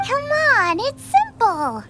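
A woman playing a cheerful, excitable teenage girl chef in a video game, eager and expressive. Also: very high-pitched, slow, noisy background, full character voice. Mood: eager, explaining. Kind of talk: video game character acting.

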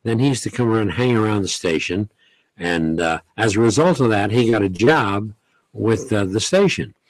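An older man recalls his radio years in a relaxed, folksy manner, pausing often. His voice sits low. The sound is dry and close, from a studio mic. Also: no room sound, low-quality recording. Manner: unhurried, folksy, many pauses and "uh"s. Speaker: veteran radio host, guest. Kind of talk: interview, reminiscing. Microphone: studio mic, headset.

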